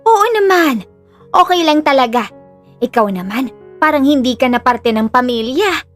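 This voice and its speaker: Sugary, two-faced middle-aged aunt, acted by a woman; high voice, faint music behind, radio-drama delivery. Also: lively intonation, moderate pace, a bit bright. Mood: coaxing, sweet. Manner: gushing, falsely sweet. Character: sugary, falsely caring middle-aged aunt, secretly scheming. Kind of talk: Filipino audio drama acting.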